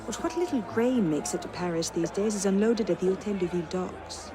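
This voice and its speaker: A man's voice: sits high, fairly quick, natural voice. An actor playing a wry, well-informed male ally in a game, speaking conversationally. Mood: wry, informative.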